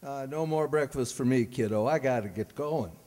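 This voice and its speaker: A man's voice: medium pitch, moderate pace, darkish tone, slight background noise, lightly acted. A man playing a blustery, stubborn, grumbling American husband full of folksy slang in an old-time radio comedy. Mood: grumbly, determined. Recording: echoey hall, long reverb.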